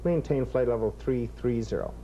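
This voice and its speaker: A man's voice: mid-range voice, normal speed, dark tone. A man working as an air traffic controller, giving technical instructions and explanations in a control room. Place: control room full of consoles. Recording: dull tape, faint room noise.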